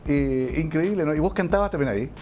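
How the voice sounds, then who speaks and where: A man's voice: a little high, expressive, fast, darkish tone, slight background noise. A man hosting a late-night interview who is chatty and frequently nods along with short "claro" and "sí" replies. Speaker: talk show host. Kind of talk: relaxed interview conversation. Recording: faint music underneath.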